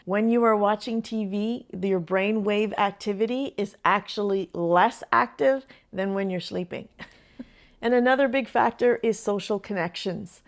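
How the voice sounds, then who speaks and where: An older woman talks straight to camera in a chatty, earnest way. She is a retired grandmother sharing advice, and soft laughs slip in between her thoughts. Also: mid-range voice, unhurried. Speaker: vlogger. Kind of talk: casual advice vlog.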